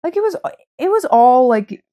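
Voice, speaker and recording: A woman's voice: high voice, very animated, normal speed, mellow. Woman chatting casually about books. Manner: conversational, heavy use of "like". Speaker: podcast co-host. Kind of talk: casual book chat.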